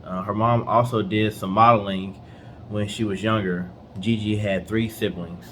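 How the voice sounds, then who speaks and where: A man's voice: fairly low pitch, steady tone, normal speed, a bit bright, slight background noise. A man co-hosting a casual storytelling show with a laid-back, playful way of talking. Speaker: co-host.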